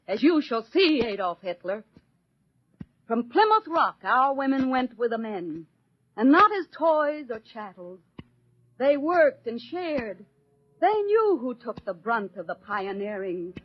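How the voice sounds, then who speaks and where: An actress playing a resolute American housewife and mother, delivering a solemn, measured 1940s radio monologue with an American accent. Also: high-pitched, breathy edge, dry recording, heavily acted. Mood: defiant, accusing. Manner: solemn, measured, declamatory oratory. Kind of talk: 1940s radio acting, dramatic poetic monologue. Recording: muffled old radio broadcast, faint music.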